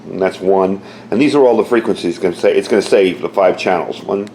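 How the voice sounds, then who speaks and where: Male reviewer, lowish voice, conversational and rambling, roomy sound with faint noise. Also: quick pace, mellow. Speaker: product reviewer and ham radio hobbyist. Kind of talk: casual product review, demonstrating features. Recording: echoey room with faint background noise.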